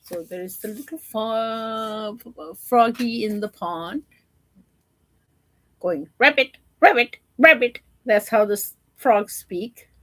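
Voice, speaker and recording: A woman's voice: mid-range voice, slow, a little hiss or hum, poor audio. A woman storyteller reading a children's storybook aloud and explaining it simply to young listeners.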